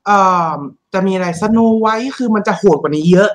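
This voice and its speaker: A man co-hosting a podcast, chatting casually in a crude, slangy, excitable manner. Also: high voice, normal speed. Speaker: podcast co-host. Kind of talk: casual chat.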